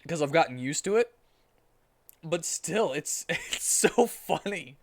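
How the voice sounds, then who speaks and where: A man streaming a comic dramatic reading with casual commentary, sounding amused and animated. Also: on the high side, slow pace, creaky, slight background noise.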